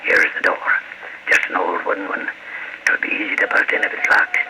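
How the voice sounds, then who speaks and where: An actor playing a blunt, bossy police sergeant in an old radio crime drama, with an American accent and slangy, commanding delivery. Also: male voice, deep voice, wildly swooping pitch, normal speed. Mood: tense, hushed. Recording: noisy old radio broadcast recording.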